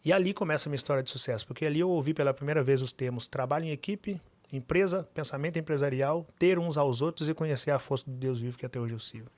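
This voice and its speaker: A man, a podcast guest telling his life story with animated, emphatic repetition. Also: on the high side, fast talker, few pauses, no echo. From Minas Gerais, Brazil.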